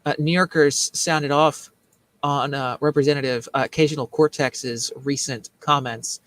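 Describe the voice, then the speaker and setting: A man's voice: a little high, steady tone, normal speed, a bit bright. A man hosting a political commentary show in a casual, joking way, rambling and repeating himself as he mocks. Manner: rambling, repetitive, mocking.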